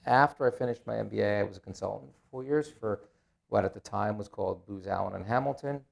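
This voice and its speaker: A middle-aged man with an Israeli accent talks in a low, gravelly voice. He tells his story in a relaxed interview, with dry, self-deprecating asides. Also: fast talker. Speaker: guest. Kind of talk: casual interview.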